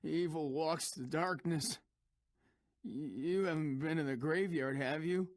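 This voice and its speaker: A man's voice: fairly high pitch, measured pace, dry recording, natural voice. A man voicing an ominous, superstitious townsman in a fantasy video game, warning of the undead. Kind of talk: video game voice-over session.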